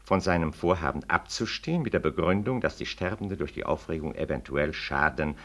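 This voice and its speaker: Radio drama: low-voiced, self-righteous male professor, formal, with sweeping emphatic intonation. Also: animated, fairly quick, a bit bright, heavily acted. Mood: declamatory, pointed. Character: pompous, self-righteous senior medical professor. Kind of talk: 1960s German radio play acting.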